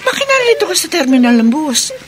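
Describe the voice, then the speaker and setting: A woman's voice: sits high, big pitch swings, fairly quick, noisy background. A worried young village woman. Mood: concerned. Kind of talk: radio drama acting.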